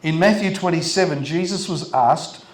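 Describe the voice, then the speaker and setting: An older Australian pastor preaching in short, gentle phrases, pausing so an interpreter can translate his sermon. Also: fairly high pitch. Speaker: visiting pastor. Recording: roomy hall echo.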